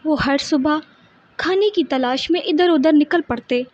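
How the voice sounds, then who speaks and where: A woman's voice: sits high, measured pace, darkish tone, lo-fi sound. A woman narrating a scripted children's story as its storyteller.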